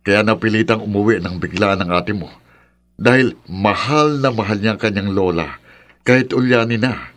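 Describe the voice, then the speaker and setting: A man's voice: on the low side, moderate pace, fairly bright tone, natural voice. A man playing a concerned, sceptical father of grown daughters in a radio drama, questioning and reassuring. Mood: puzzled. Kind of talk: horror radio drama acting. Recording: clear background noise.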